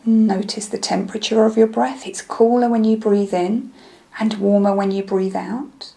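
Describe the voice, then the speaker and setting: A woman leading a guided meditation in a gentle, soothing, unhurried manner, with phrases that trail off softly. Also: medium pitch, fairly even intonation. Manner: soothing, gentle, unhurried instruction with soft breathy phrase endings.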